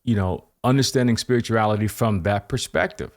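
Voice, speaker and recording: A man's voice: fairly low pitch, fairly quick. A man hosting a podcast interview, rambling through his questions with many restarts and fillers. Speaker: podcast host. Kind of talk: casual interview.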